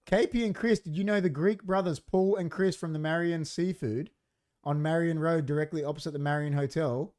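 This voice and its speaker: A man's voice: a little high, steady tone, fairly quick, darkish tone. A middle-aged Australian man co-hosting a casual live podcast, chatty and given to repeating himself for emphasis. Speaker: podcast co-host.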